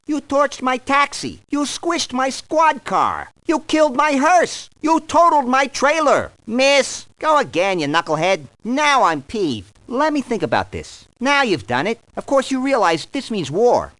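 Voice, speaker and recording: Small furry male cartoon sidekick, manic and mischievous, hugely swooping pitch, dry close studio sound, for an adventure game. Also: huge pitch swings, moderate pace, few pauses, pushed and bright, no echo, heavily acted. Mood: taunting, indignant, then peeved, competitive. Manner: wisecracking, mischievous, exaggerated delivery. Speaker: video game voice actor.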